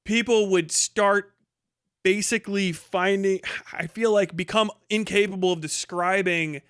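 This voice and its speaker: A man's voice: high-pitched, steady tone, a little slow, fairly bright tone, smooth, dry recording, clean studio sound. A man hosting a livestream, giving rambling, emphatic and sweary opinionated commentary in casual speech. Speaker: livestream host.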